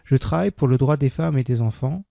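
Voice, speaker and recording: A man with a dark, gravelly voice reads a beginner French text at a measured pace. He repeats each sentence with careful diction. The recording is dry and close, with no room sound. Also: mid-range voice, no room sound. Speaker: French language teacher. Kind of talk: slow scripted reading for learners.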